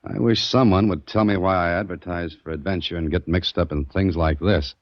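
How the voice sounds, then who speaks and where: An actor playing a wry, inquisitive adventurer who also narrates, conversationally, in a 1940s radio drama. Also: male voice, low-pitched, fairly even intonation, brisk, close to an everyday voice. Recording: dull old 1940s radio recording.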